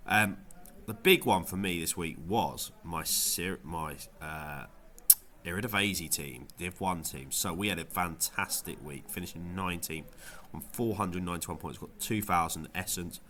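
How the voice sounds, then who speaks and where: Low-voiced man with a nasal cold. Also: unhurried, bright, forceful tone. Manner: rambling, lots of ums and restarts. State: has a cold, sounds nasal. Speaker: fantasy football video creator. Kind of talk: casual gameweek review vlog.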